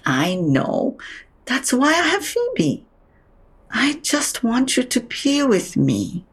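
Slow, husky, blunt elderly mother. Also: fairly high pitch, huge pitch swings, full character voice. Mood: wry, frank. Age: older. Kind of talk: naturalistic audio drama acting.

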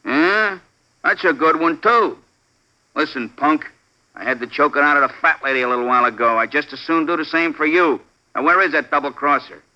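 An actor playing a bullying, uneducated gangster in a 1950s radio crime drama, barking threats in slang with an American accent. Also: male voice, on the high side, brisk, bright, forceful tone. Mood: menacing. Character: menacing, uneducated gangster killer, bullying and smirking. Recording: muffled vintage 1950s radio recording.

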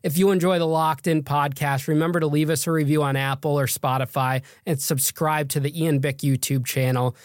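A man's voice: fairly high pitch, steady tone, brisk, hardly any pauses, no room sound, clean studio sound. A man hosting a podcast, chatting casually and loosely with his guest, with plenty of fillers. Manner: chatty, hesitant with many fillers. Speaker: podcast host. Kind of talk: casual interview chat.

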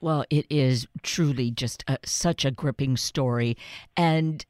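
A woman's voice: a bass voice, slow, a little mellow, no echo, studio quality. A woman radio host asking meandering, appreciative questions. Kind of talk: radio interview.

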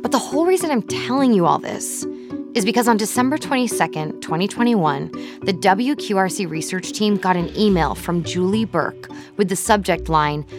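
An American woman playing an investigative journalist, narrating in a measured, scripted documentary podcast style. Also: mid-range voice, close to an everyday voice.

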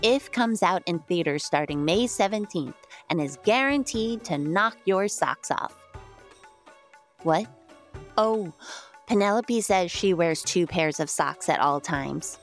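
Playful lower-voiced woman presenting an ad. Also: expressive, takes it slowly, faint music behind. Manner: playful, conversational, addressing kids. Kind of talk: scripted chatty children's podcast ad.

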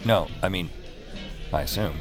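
A man's voice: deep voice, normal speed, music in the background, close to an everyday voice. An actor playing a world-weary, wisecracking drinker who narrates his own nights in an audio drama, with a sardonic, wry delivery. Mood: smitten, rambling. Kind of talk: first-person audio drama narration and dialogue.

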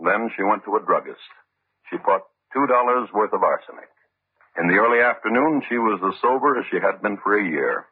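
A man narrating a true-crime radio drama as a grave, deliberate, literary storyteller. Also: on the low side, lively intonation, breathy edge. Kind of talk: scripted old-time radio narration. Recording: muffled old radio recording. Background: music.

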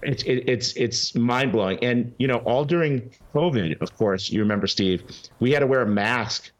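A man's voice: mid-range voice, normal speed, muffled recording. A man as a guest on a talk show, speaking urgently and emphatically, often restarting his sentences. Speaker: guest, legal advocacy group representative. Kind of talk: animated call-in interview.

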